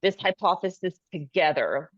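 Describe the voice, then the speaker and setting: A woman's voice: fairly low pitch, lively intonation, moderate pace, no room sound. A woman co-hosting a podcast, asking questions in a hedging way as she searches for words. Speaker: podcast co-host. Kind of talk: interview questions. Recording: dull, dry lo-fi audio.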